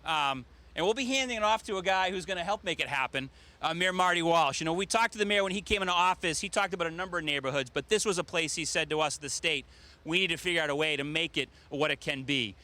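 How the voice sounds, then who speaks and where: A man with a fairly high, bright voice hosts an outdoor public ceremony. He introduces speakers in a loose, folksy, joking way, as a government official speaking off the cuff. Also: fast. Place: outdoor public event in rain.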